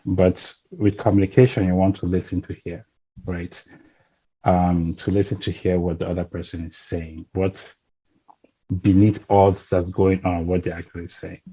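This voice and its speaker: A man's voice: sits low, flat delivery, normal speed, mellow, breathy edge, lo-fi sound. A man talking as a guest, thoughtful, often checking in with "right?" and "you know". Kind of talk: casual conversation.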